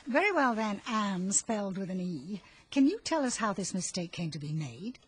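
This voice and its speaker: An actress voicing a stern, practical older farm woman who talks in brisk, clipped, scolding lines in an audio drama. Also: medium pitch, very animated, husky. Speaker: actor playing Marilla.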